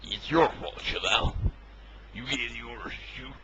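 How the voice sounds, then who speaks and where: A man playing a bossy, blustering military commander who is quick to blame, in an amateur audio drama. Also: mid-range voice, sharp tone, slight background noise, natural voice, low-quality recording. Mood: blaming.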